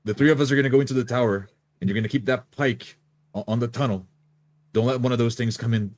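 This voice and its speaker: A man's voice: mid-range voice, fairly even intonation, quick pace, darkish tone, no echo. A man playing a tabletop game, speaking in character with urgent, directing lines. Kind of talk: in-character roleplay.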